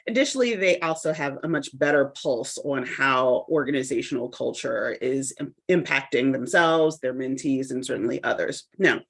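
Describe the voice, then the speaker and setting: A woman hosting a podcast, reading a measured, articulate introduction before interviewing her guest. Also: low-pitched. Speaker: podcast host. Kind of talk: scripted introduction then interview.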